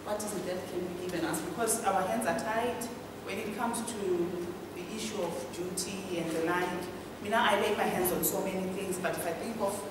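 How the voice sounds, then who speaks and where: A woman's voice: medium pitch, fairly even intonation, normal speed, few pauses. A woman with a Zimbabwean accent putting a question from the audience at a public meeting. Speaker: audience member asking question. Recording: echoey hall, distant mic, noisy.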